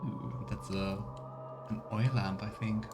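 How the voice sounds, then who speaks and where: A man's voice: on the low side, steady tone, slow, background noise. A man streaming a game with casual commentary, musing aloud and reacting as he plays. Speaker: streamer playing through a game. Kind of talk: casual let's play commentary. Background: faint game music.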